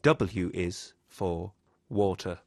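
A man performing whimsical verse theatrically, between singing and speech, with drawn-out delivery. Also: deep voice, music in the background. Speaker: performer and narrator. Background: musical instruments.

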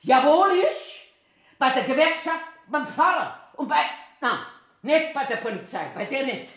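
A woman's voice: high-pitched, sing-song, measured pace, fairly bright tone, full character voice. An actress in her eighties playing a cranky, eccentric old toilet attendant in a theatrical monologue, querulously talking to herself in a Bavarian accent. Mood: indignant, defiant. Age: 80s. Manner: self-talking, querulous, emphatic. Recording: echoey room sound.

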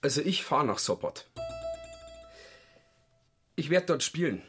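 An actor playing a shifty, boastful count and gambler in a radio play. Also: male voice, on the high side, sing-song, measured pace, a bit bright, soft background music.

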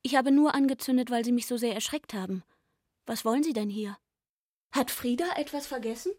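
An actress playing a startled, childlike young barmaid in a literary radio drama, with a quick, artless manner. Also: female voice, on the high side, darkish tone, lightly acted. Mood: startled, defensive.

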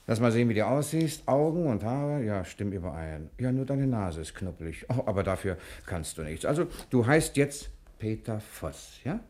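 An actor playing a genial, quick-witted fugitive rogue in a radio comedy drama, jaunty, chatty and bossy. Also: male voice, on the low side, very animated, darkish tone. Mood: brisk, bossy.

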